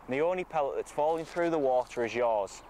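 A man's voice: medium pitch, animated, fast talker, darkish tone. A man, giving an informal, matter-of-fact tutorial to camera as a coach. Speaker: fishing coach presenting a tutorial. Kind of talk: informal instructional talk to camera. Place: outdoors on a lakeside bank. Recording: outdoor ambient noise.

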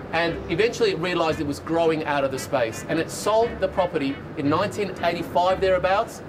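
A man's voice: a little high, noisy background. A man in his forties with an Australian accent, leading a heritage building tour outdoors on a rooftop and telling its stories with enthusiasm. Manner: storytelling, enthusiastic, lists places in rhythmic runs. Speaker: tour guide. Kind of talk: guided heritage tour talk. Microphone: lapel.

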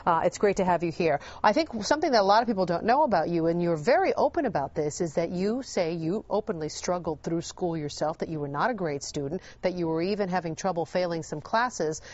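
Woman, TV host, fairly low voice, polished interview questions, dull audio. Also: expressive, brisk, few pauses, a little mellow, no echo. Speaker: TV show host.

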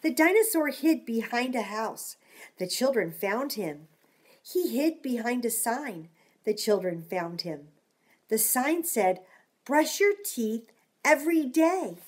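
Middle-aged female storytime reader, high voice, unhurried, encouraging and chatty with little listeners. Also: sing-song, husky. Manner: encouraging, asking the listener simple questions. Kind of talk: read-aloud storytime with chatty asides to young children. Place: small home room, indoors.

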